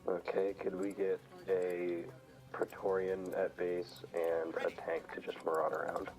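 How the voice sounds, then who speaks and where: Male gamer and squad leader, deep even voice, casual voice chat, clear music underneath. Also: a little slow. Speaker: squad ground commander in online game.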